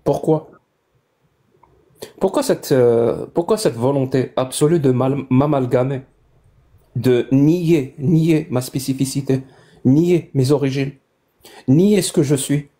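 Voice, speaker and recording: A man's voice: mid-range voice, slow, pauses often, dark tone. A man from Algeria arguing in a live-stream debate, formal and emphatic, with rhetorical questions.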